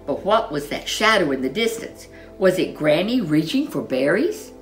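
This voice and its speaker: Woman, low-pitched, animated storybook narration, dramatic emphasis, faint music behind. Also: normal speed. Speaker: children's storyteller. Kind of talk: expressive storybook narration for young children.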